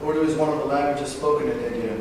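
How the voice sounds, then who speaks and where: A man in his twenties from Northern California, a veteran giving a personal talk, halting at times with fillers and self-corrections. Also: medium pitch, fairly even intonation. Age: 20s. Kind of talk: personal speech read to an audience. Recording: distant, echoey hall recording with background noise.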